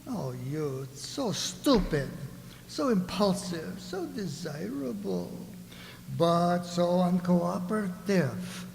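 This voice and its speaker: A man playing a scheming onion ruler in a radio play, courteous and pompous with a smooth menace. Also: sits high, very animated, slow, a little mellow, a little hiss or hum, full character voice. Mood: mock-genteel menace. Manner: pompous, mock-genteel menace. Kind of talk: theatrical radio drama acting. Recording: echoey hall with long reverb.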